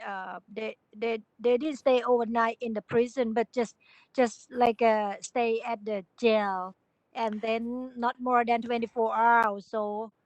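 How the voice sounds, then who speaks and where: A woman taking part in a class, making an unscripted comment in a halting way and repeating her phrases. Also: on the high side, no room sound, highs cut off. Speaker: training participant, addressed as a doctor.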